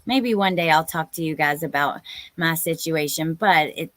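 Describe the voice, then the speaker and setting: Low-pitched woman chatting casually on a livestream. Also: moderate pace, a bit bright, no echo. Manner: informal, chatty, exclamatory. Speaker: live stream host. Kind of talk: casual chat with live viewers, reading out comments.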